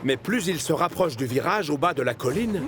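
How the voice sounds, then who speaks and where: Animated male children's storyteller. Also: on the high side, moderate pace. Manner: expressive storyteller. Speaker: narrator. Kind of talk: scripted children's story narration in French cartoon.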